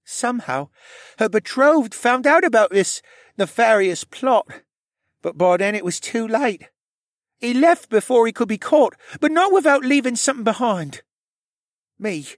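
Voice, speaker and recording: Young stable boy, high rough voice, anxious, tearful, stammering, animated, dry audiobook sound. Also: a little slow, dry recording. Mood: hesitant, confiding. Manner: stammering, pleading. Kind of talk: audiobook character acting.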